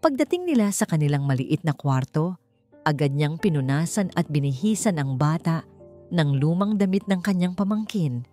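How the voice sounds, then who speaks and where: Low, mellow woman storyteller. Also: sing-song, moderate pace, soft background music. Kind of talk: scripted dramatic story narration.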